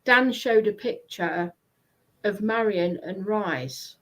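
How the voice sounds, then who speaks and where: A woman chatting casually in a hesitant, halting way, often repeating herself. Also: mid-range voice. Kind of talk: casual chat.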